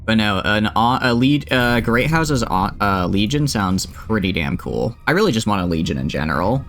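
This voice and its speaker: A man's voice: on the low side, sing-song, moderate pace, fairly bright tone, slight background noise. A man chatting with viewers while streaming a game, rambling and joking at his own expense. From United States. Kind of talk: casual gameplay stream chat.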